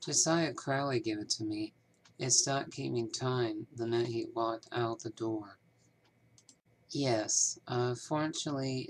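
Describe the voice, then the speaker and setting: A man voicing an evasive, troubled small-town bank manager in a game, speaking in a measured, formal way. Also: medium pitch. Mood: guarded, evasive. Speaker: amateur voice actor. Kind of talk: scripted game character dialogue, fan performance.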